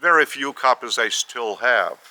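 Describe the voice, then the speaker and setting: A man with a Lithuanian accent, whose first language is Lithuanian, giving a spiritual teaching in a measured way, often repeating and restarting phrases. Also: mid-range voice, lively intonation, pushed and bright. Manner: measured, with repetitions and careful restarts. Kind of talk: slow spoken teaching.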